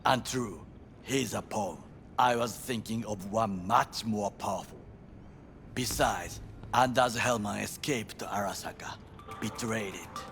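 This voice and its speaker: An actor playing a grave, proud middle-aged Japanese ex-bodyguard in a video game, speaking formal, clipped English with a Japanese accent. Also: male voice, on the low side, unhurried, creaky, fairly bright tone, soft background music. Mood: grave, firm. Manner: formal, clipped, stern phrasing. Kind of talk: video game dialogue acting.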